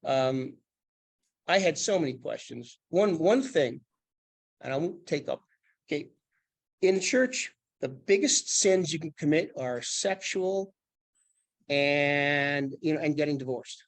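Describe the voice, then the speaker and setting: A man on a panel, an animated and joking storyteller who used to preach. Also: fairly high pitch, takes it slowly, pauses often. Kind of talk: panel discussion.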